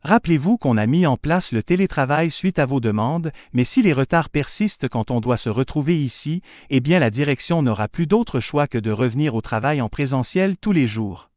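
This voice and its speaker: A man's voice: medium pitch, animated, moderate pace, hardly any pauses, a little mellow, no room sound, clean studio sound, natural voice. A man playing a formal manager admonishing his staff in scripted exam audio. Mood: firm, admonishing. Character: male manager sternly addressing his staff.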